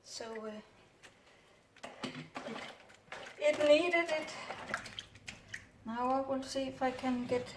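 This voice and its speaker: Slow, hesitant Danish-accented woman. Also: sits high. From Denmark. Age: middle-aged. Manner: hesitant, many "uh" fillers, unhurried. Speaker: orchid grower. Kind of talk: casual hands-on narration. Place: home kitchen counter, indoors. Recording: roomy distant camera audio, faint noise.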